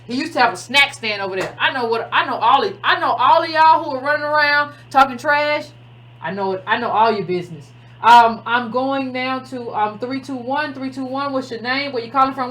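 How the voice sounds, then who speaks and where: A woman hosting a call-in talk show, animated and speaking straight to her callers. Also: high-pitched, low-quality recording. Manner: animated, talks to callers directly. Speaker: show host.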